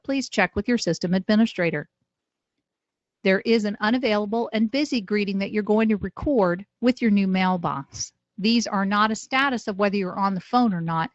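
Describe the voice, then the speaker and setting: Casting: woman as software trainer, methodical tutorial read, close dry sound, medium pitch. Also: dry recording, lo-fi sound. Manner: clear step-by-step explaining. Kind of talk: instructional narration.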